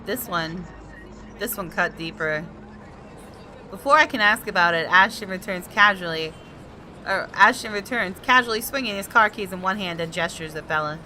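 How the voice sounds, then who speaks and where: A woman gaming host reading visual novel narration aloud in an expressive storytelling style. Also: mid-range voice, moderate pace, a little hiss or hum. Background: background music.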